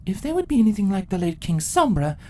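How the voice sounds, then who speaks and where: A woman's voice: mid-range voice, big pitch swings, quick pace, darkish tone, slight background noise, natural voice. A woman voicing regal changeling queens and a pony in a dramatic fantasy story, speaking formally and theatrically. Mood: formal, arguing. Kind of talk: dramatic story character acting.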